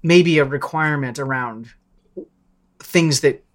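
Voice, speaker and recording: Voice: mid-range voice, measured pace. A podcast participant with a mid-range voice talks casually and reflectively. They think aloud, feeling for the right words and often restarting a phrase to correct it.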